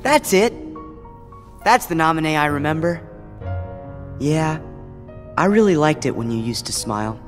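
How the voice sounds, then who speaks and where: A man's voice: a little high, animated, a little slow, long pauses. A man playing an earnest, kind-hearted teenage boy hero in a game cutscene, sincere and reassuring. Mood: warm, encouraging. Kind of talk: animated game cutscene acting. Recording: long reverb, music behind.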